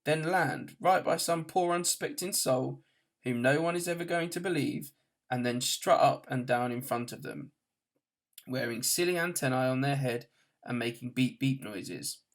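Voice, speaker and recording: A man's voice: medium pitch, moderate pace, close to an everyday voice. A man voicing a breezy, self-satisfied, easygoing alien traveller in a storybook, matter-of-fact in manner. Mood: smug, amused.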